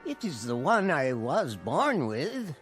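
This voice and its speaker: A man's voice: a little high, huge pitch swings, slow pace, fairly bright tone, faint music behind, heavily acted. An actor in a radio drama playing a genial, mysterious old male drunkard with a formal, theatrical, quaint way of speaking. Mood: courteous.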